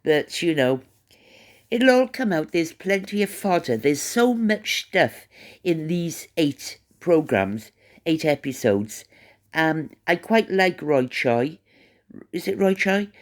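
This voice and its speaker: Welsh accent, chatty gossipy vlog rambling, keeps stopping and repeating words, medium pitch voice. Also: very animated, slow pace. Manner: chatty, stop-start, repeats words while thinking aloud. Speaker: channel host. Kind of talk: rambling gossip commentary to camera.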